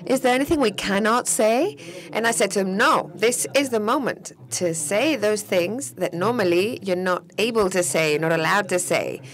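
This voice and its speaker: A woman giving live interpretation of a panel discussion, steady but slightly halting, with restarts as she keeps up with each speaker. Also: mid-range voice.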